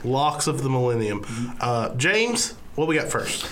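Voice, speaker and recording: A man's voice: mid-range voice, big pitch swings, measured pace, fairly bright tone, slight background noise. A young man co-hosting a college sports podcast while sick and losing his voice, talking casually with many restarts. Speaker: podcast co-host. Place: college radio studio.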